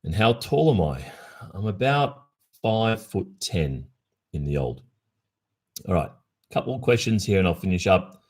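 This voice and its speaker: Very deep-voiced Australian man. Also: very animated, a little slow, long pauses, darkish tone. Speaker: ASMR roleplay streamer. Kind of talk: casual livestream chat answering listener questions.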